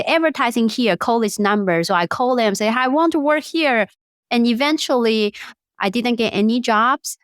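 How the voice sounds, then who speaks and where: Taiwanese woman, late 30s, startup founder guest; smooth, clear voice, dry close recording. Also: mid-range voice, no room sound. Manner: non-native grammar, lively storytelling. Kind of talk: interview conversation.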